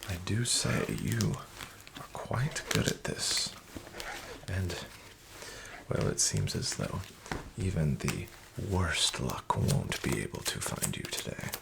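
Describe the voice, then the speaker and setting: A man playing a smooth-talking, falsely friendly casino dealer, soft-spoken and half-whispered. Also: low voice, wildly swooping pitch, slow pace, heavily acted. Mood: sly coaxing. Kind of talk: soft-spoken ASMR roleplay. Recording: audible background noise with card shuffling.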